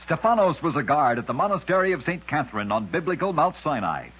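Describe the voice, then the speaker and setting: A man hosting an old-time radio program, narrating scripted strange tales in a dramatic, deliberate storyteller's delivery. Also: a little high, very animated, a bit bright, breathy edge. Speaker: radio host and narrator of oddities. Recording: dull lo-fi vintage radio recording.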